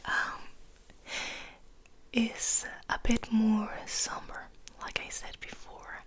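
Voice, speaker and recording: A young woman whispering softly and gently in an ASMR style, reading and musing in a hesitant way. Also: a little high, monotone, slow. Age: young adult. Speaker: ASMR host, literature student.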